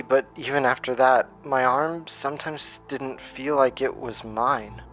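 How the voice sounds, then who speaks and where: A man plays a haunted survivor in a horror audio drama. He narrates in a medium-pitched voice, grave and halting, like a confession. Soft music sits underneath. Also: natural voice. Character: haunted, traumatised man recounting a childhood nightmare.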